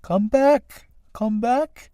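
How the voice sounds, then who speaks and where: A woman voicing callous, gossiping snails in a low, sly, gloating mutter. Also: very slow, dark tone, no echo.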